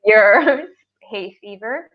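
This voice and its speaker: A woman's voice: mid-range voice, lively intonation, takes it slowly. Dull-sounding webinar audio, woman teacher from Pakistan. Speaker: English teacher co-hosting a webinar. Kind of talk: online lesson, informal explaining. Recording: dull, lo-fi online call audio.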